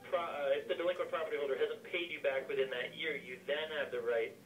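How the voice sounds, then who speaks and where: A man's voice: a little high, steady tone, fast, recorded from a distance, a little hiss or hum, poor audio. A man explaining investments like a broker to buyers in a business phone conversation.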